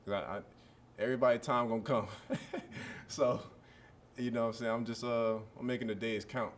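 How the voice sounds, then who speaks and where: A man in his early twenties, a college football player, answering press questions in a relaxed, rambling way full of fillers. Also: medium pitch, fairly quick, slight background noise. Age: early 20s.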